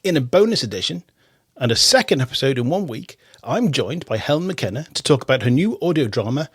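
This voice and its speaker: Brief: man hosting a podcast, husky, animated intonation, close dry sound. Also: fairly high pitch, no echo. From Isle of Man. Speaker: podcast host. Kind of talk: radio podcast presenting and chat.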